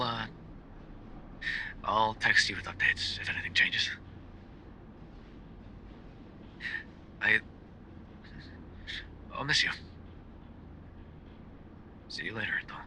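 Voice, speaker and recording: Man acting a bright, breathy lovesick mafia boyfriend. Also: mid-range voice, slight background noise, rough recording. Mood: teasing, fond. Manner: soft-spoken, hesitant, often dropping to a whisper. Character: lovesick, bookish mafia boyfriend, tender and sheepish. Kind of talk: ASMR roleplay voice acting, intimate phone voicemails.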